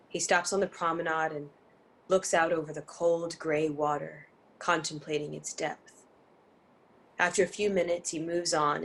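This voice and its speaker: Female narrator for stage directions: flat delivery, some vocal fry, slight background noise. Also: low voice, low-quality recording. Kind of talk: scripted narration.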